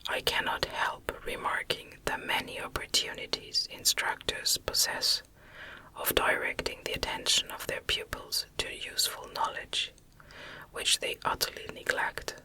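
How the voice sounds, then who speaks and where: A woman reading a classic novel as ASMR narration in a soft, breathy, unvoiced whisper, hushed and measured, close to the microphone.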